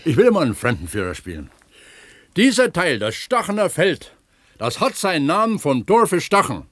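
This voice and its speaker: An actor playing an eager, earnest pump attendant at an opencast mine in a naturalistic radio drama, explaining things like a tour guide. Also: male voice, on the high side, wildly swooping pitch, normal speed, a bit bright, dry recording, heavily acted. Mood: matter-of-fact, proud.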